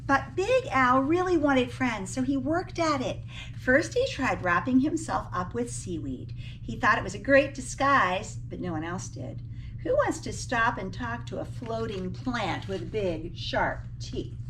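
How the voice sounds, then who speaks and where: Female storybook reader, teacher type, higher voice, animated emphasis, recorded away from the mic with some background noise. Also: distant mic, low-quality recording. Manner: animated, dramatic emphasis with drawn-out key words. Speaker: teacher reading a picture book aloud. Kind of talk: expressive children's storybook read-aloud with asides to listeners.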